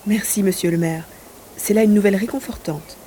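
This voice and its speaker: Audio drama: romantic young gentlewoman, played by a woman, dreamy and formal, mellow tone, echoey room. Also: medium pitch, a little fast, natural voice. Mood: polite. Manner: exalted, formal literary diction. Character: romantic, dreamy young provincial lady, well-born. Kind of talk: audio drama monologue and dialogue. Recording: roomy echo, faint background noise.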